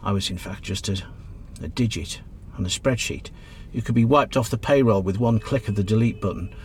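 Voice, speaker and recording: An actor playing a kindly, encouraging middle-aged British taxi driver in a radio play, reassuring and plain-spoken. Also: male voice, fairly low pitch, a little fast, a little mellow, a little hiss or hum, lightly acted. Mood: sympathetic.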